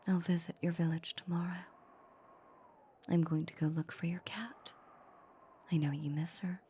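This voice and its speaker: A woman playing a tender, possessive female vampire in an intimate ASMR roleplay, soothing and hushed, often slipping into whispers. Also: on the low side, steady tone, moderate pace, slight background noise, lightly acted.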